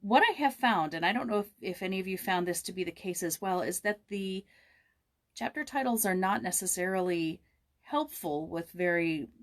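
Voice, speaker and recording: A woman's voice: lowish voice, lively intonation, normal speed. Conversational woman hosting a read-aloud. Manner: informal, conversational, thinking aloud. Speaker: host and audiobook narrator. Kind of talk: casual chat and storybook read-aloud.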